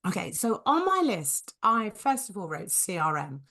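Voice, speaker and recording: A woman co-hosting a business podcast, hoarse and husky from a cold, talking enthusiastically with her co-host. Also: medium pitch, sing-song, moderate pace, fairly bright tone. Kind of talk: conversational podcast chat.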